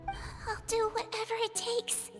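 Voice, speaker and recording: A small nurse creature from a video game, with an extremely high, sweet voice. She sounds earnest and childlike in size, over faint music. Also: monotone, unhurried. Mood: earnest, determined.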